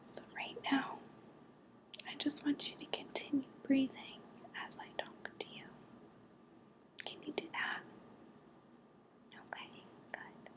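A woman leads an ASMR relaxation in a close, breathy whisper. Her pitch sits high and her pace is very unhurried. She sounds gentle and reassuring throughout, as if guiding one listener. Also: completely flat delivery, poor audio. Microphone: close to the mic.

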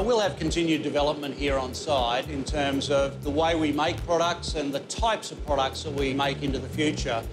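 A middle-aged Australian man lays out how his mill works in steady, explanatory answers. His voice sits a little high for a man. He is recorded on a lapel mic inside the mill's control room. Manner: measured, explanatory. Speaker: flour mill manager. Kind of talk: interview answers.

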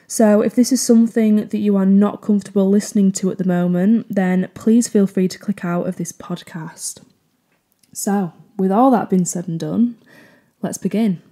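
Young woman host, very warm dark tone, soft friendly podcast intro. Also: mid-range voice. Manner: gentle, upbeat introduction with soft fillers. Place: living room with sofa.

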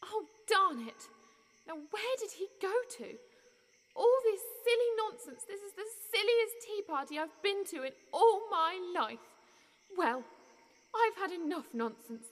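A woman's voice: extremely high pitch, lively intonation, unhurried, long reverb tail. A woman playing a curious, polite, easily flustered young girl in an audio drama, wondering and earnest. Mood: exasperated.

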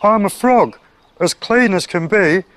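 Man putting on a croaky, eager storybook frog. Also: sits high, measured pace, no room sound, voice pushed up. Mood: eager, hopeful. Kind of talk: children's storybook character.

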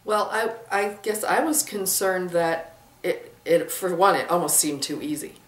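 A woman in her fifties gives a casual interview as a director. Her voice is low-pitched, picked up a little far from the mic in a roomy space. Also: unhurried. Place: office with bookshelves. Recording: roomy, distant mic, faint noise.

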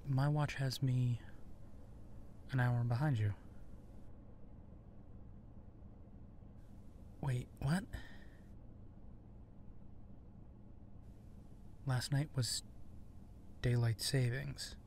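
A man playing a friendly, self-effacing werewolf in human form in an intimate roleplay audio drama, speaking in a halting, conversational way. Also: medium pitch, steady tone, darkish tone, slight background noise. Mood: casual, helpful.

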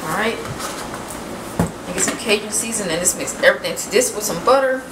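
A woman's voice: mid-range voice, animated, a little fast, heavy background noise. Young woman cooking, casual chatty tone, roomy kitchen, recorded from across the room. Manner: chatty, loose, says "you know" and "y'all" often. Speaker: home cooking vlogger. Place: home kitchen, indoors. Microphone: camera mic from across the kitchen. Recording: roomy kitchen audio from a distant camera, loud music underneath.

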